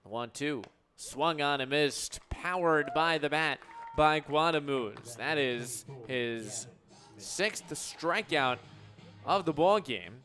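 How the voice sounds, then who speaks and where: A man's voice: fairly high pitch, slow pace, sharp tone, faint music behind. A man calling baseball play-by-play for radio in punchy, clipped phrases that swell on big plays. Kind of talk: live sports play-by-play commentary.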